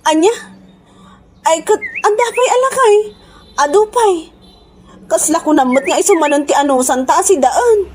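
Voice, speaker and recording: An actress with an Ilocano accent playing an excitable, complaining village wife in a radio comedy drama. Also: female voice, very high voice, normal speed, pauses often, a little hiss or hum. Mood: exasperated. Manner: exclamatory, dramatic.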